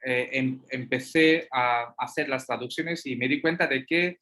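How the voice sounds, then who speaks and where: Male guest lecturer, Hindi native, slow and halting, many fillers. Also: medium pitch, sharp tone. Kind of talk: answering audience questions.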